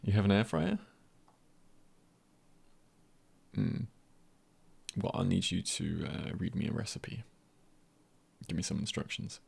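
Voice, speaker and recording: A man playing an easygoing, comforting male friend in an intimate ASMR roleplay, husky and gentle, with unhurried pauses and light teasing. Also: sing-song, exaggerated voice. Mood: relaxed, lightly joking. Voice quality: husky, breathy, gravelly low voice.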